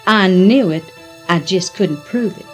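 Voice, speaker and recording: Woman acting a slow-talking, defiant oilwoman. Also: on the low side, faint music behind, lightly acted. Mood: smugly triumphant. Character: defiant, calculating oilwoman out to blackmail a rival. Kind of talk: audio drama acting.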